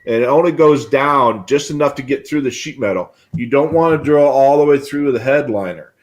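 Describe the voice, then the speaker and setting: A man's voice: mid-range voice, normal speed. Middle-aged American man, folksy explainer. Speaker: co-host of a radio scanner show. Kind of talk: casual explanatory talk on a livestream. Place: indoor home radio room on a video call.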